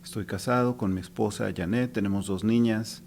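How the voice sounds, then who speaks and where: A man from Mexico City answers questions as a pastor, his voice low and his delivery steady. A faint hum sits underneath. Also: moderate pace, vocal fry. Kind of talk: podcast interview answers.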